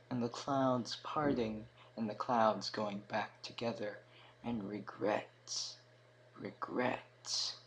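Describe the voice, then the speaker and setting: A man narrating a scripted fantasy story in a dramatic manner, with emphatic swells and hushed drops. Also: on the low side, low-quality recording. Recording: clear background noise.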